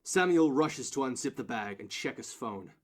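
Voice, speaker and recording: Male narrator for audio drama, mid-range pitch, reading scripted scene description. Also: expressive, moderate pace.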